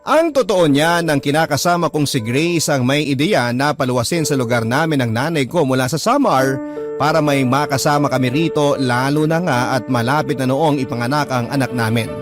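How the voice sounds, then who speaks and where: A man's voice: medium pitch, brisk, close to an everyday voice. A man playing an earnest young Filipino father, narrating his life story respectfully and reflectively for a radio drama. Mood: reflective, confiding. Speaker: letter-sender narrator. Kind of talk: scripted first-person radio drama narration. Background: prominent background music.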